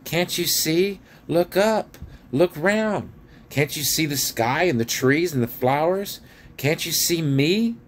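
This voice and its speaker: A man playing an earnest young girl, pitched up. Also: lively intonation, slow pace, a little hiss or hum. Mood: pleading. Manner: earnest, imploring. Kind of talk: storybook character reading.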